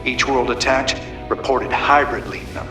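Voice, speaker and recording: An actor playing a gruff, weary human commander in a video game, with a Southern American accent and a blunt, casual drawl. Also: male voice, medium pitch, fairly even intonation, normal speed, lightly acted. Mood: grim briefing. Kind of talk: dramatic game cinematic acting. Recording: echoey, distant, music behind.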